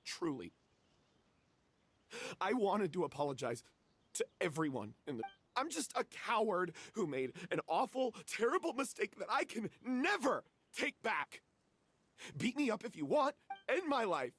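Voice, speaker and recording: A man voicing a remorseful, cowardly young member of a theatre troupe in a video game, halting and anguished as he confesses. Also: high-pitched, sing-song, fairly bright tone, slightly rough, full character voice.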